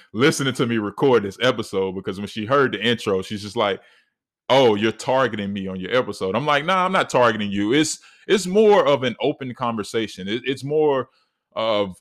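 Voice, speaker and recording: A man in his thirties with a deep voice, hosting a solo podcast and talking earnestly, with many fillers and restarts. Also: a bit bright. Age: 30s. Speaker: podcast host.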